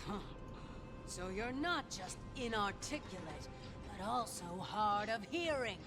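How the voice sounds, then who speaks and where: A woman's voice: medium pitch, lively intonation, a little slow, over music, lightly acted. A woman playing a haughty, cruel trial announcer in a video game, theatrical and grandiose with a mocking edge. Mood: scornful. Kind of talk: video game character dialogue.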